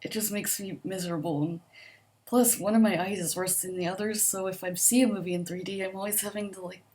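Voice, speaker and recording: Soft-spoken woman with a slight stutter. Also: mid-range voice, steady tone, fairly quick. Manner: hesitant, with frequent ums and likes, laughs softly. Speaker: vlogger answering a survey. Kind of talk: casual chat.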